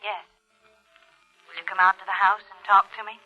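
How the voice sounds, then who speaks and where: An actress playing a cool, calculating widow in an old radio thriller, controlled and insistent, heard over a telephone. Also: female voice, mid-range voice, level tone, moderate pace, soft background music. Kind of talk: 1940s-50s radio thriller acting. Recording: dull vintage radio, thin phone sound.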